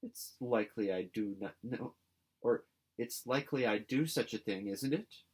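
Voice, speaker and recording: A man reading a storybook as an excitable, timid young girl, exclamatory and dramatic. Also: mid-range voice, moderate pace, breathy edge, lightly acted. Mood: scornful.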